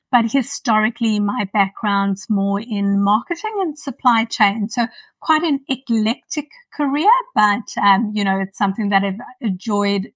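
A woman in her fifties with a South African accent, a podcast guest talking thoughtfully with many pauses. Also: mid-range voice, dry recording, distant mic. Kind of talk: casual interview.

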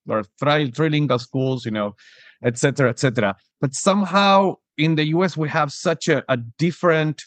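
A man's voice: mid-range voice, no echo. Man from Argentina asking searching questions. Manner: searching for words, restarts, rising "right?" tags. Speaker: podcast host. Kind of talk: interview questions.

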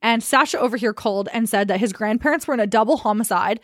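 A young woman co-hosts a casual podcast, quick to tease her partner. Her voice sits a little high. The recording is close and dry. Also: no echo. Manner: teasing, quick and chatty. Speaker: podcast co-host. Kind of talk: casual chat.

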